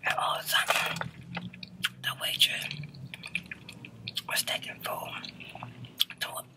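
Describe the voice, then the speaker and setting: A woman in her forties whispering a personal dating story in a chatty way, with lots of fillers. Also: slow.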